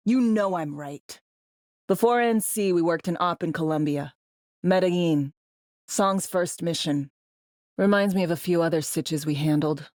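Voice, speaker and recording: Low-voiced, dry female secret agent. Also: a little mellow, no room sound. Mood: reflective. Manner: clipped phrasing, dry, casually profane. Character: brisk, sardonic, foul-mouthed veteran female secret agent. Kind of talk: video game dialogue, natural conversational acting.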